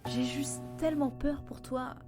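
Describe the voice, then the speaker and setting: Woman acting a high-voiced, anxious, pleading girlfriend. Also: fairly even intonation, unhurried, music in the background. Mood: worried, insistent. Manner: emotional, hesitant, pleading. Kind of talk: French audio drama acting.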